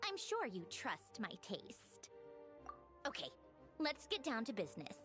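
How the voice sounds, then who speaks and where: A fairly high, expressive voice as a haughty noblewoman in a video game. She is refined and languid, teasing with condescension, and her pitch swoops freely over background music. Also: measured pace. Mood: businesslike, imperious.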